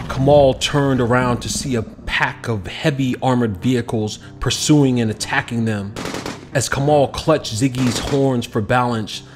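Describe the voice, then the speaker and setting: A man reading a children's picture book aloud as an expressive, scripted narration. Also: mid-range voice.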